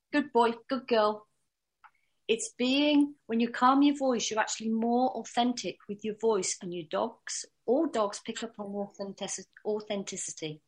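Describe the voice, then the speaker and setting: A woman dog trainer teaching a workshop in a calm, steady voice that she pushes from the diaphragm. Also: fairly high pitch, rough recording.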